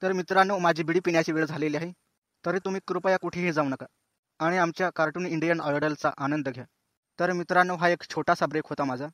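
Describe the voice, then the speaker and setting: A man's voice: fairly high pitch, fairly even intonation, fast, a bit bright, dry recording, natural voice. A man playing the chatty, teasing host of a cartoon singing contest, talking in a folksy, colloquial way. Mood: breezy, bantering. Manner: colloquial, folksy, addressing viewers as friends. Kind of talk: comic cartoon show presenting.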